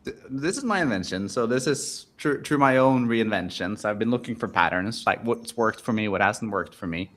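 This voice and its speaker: Calm low-voiced Norwegian-born man. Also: normal speed, hardly any pauses. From Norway. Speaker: reinvention coach, guest. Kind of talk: casual interview chat.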